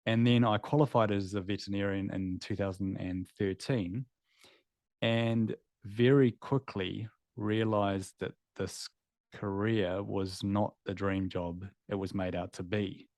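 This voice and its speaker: A man's voice: on the low side, steady tone, a little slow, a little mellow, no room sound. A man in his thirties with a New Zealand accent, a guest telling his story candidly and reflectively in an interview. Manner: candid, reflective, with filler words. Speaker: guest, former veterinarian.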